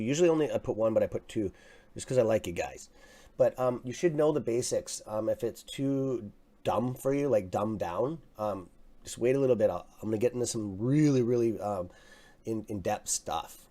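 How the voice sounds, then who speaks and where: A man with a raspy, mellow, fairly low voice, chatting loosely through a live trading stream. He gets excited, swears now and then, and keeps addressing his viewers as "guys.". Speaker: livestream stock trading host. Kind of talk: casual live market commentary.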